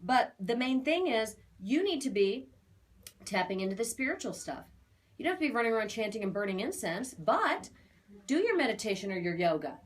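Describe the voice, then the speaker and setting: A woman's voice: fairly high pitch, big pitch swings, brisk. A woman giving a conversational spiritual reading as an aura reader, talking in a chatty, emphatic, assured way.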